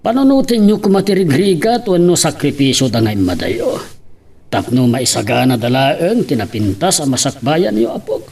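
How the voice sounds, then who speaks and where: A man playing a fond, gently advising elderly grandfather in an Ilocano-accented audio drama. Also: fairly high pitch, very animated, moderate pace, gravelly, breathy edge, heavily acted. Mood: earnest, advising. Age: older.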